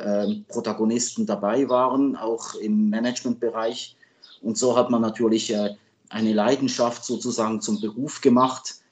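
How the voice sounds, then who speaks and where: A man in his fifties from Switzerland, a native French speaker, answering as a guest expert in a halting, conversational way. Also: on the low side, darkish tone, a little hiss or hum. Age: 50s. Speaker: sports journalist and guest expert.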